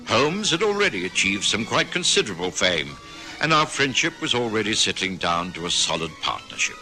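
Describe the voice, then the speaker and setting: A brisk, reassuring doctor. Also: male voice, on the low side, bright, forceful tone, full character voice. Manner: brisk, reassuring bedside manner. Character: steady respectable male general practitioner. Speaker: narrator and doctor. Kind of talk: radio drama narration and acting. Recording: old radio broadcast with faint hiss.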